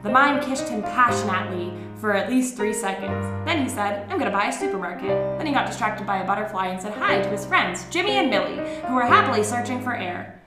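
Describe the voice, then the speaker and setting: A woman's voice: mid-range voice, expressive, quick pace. A woman co-hosting a podcast with playful, theatrical storytelling and casual banter. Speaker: podcast co-host. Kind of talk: comic dramatic reading and casual podcast banter. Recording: echoey room with music behind.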